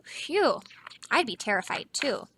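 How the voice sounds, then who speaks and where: A woman teacher reading a picture book aloud to children, animated and dramatic, with explanatory asides and questions to the listeners. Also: on the high side, measured pace, husky, no echo.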